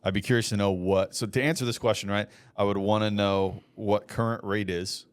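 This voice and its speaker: A young man co-hosting a casual podcast, giving matter-of-fact advice with quiet deadpan jokes. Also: fairly low pitch, fairly quick, clean tone, no room sound. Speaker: podcast co-host, mortgage lender. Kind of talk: casual chat.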